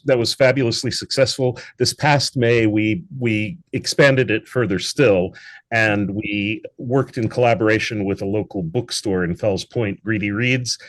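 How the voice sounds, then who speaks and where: A man's voice: mid-range voice, no room sound. Articulate man in a dry-sounding interview. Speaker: interview guest, theatre founder and producer. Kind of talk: conversational interview.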